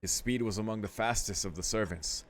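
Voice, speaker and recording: A man with a fairly low voice reads visual novel text aloud for a let's play. He drifts between narration and casual, sweary asides, muttering his reactions as he goes. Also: brisk, a little hiss or hum. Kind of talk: casual gaming commentary mixed with read-aloud narration.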